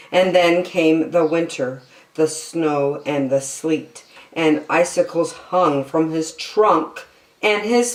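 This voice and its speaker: A woman's voice: low voice, darkish tone, reverberant. A woman storyteller reading a children's picture book expressively.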